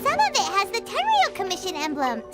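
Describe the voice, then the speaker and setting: Squeaky, very high fairy girl. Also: huge pitch swings, fairly quick, over loud music, heavily acted. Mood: curious. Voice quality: squeaky, childlike. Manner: exaggerated, sing-song delivery. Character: tiny chirpy fairy girl companion, curious and excitable. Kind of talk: video game character voice acting.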